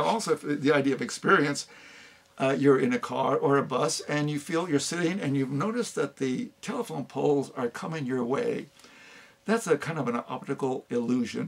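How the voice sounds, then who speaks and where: An older man giving an unscripted talk on philosophy, halting often with fillers and restarts. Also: mid-range voice, gravelly. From United States. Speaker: speaker on philosophy.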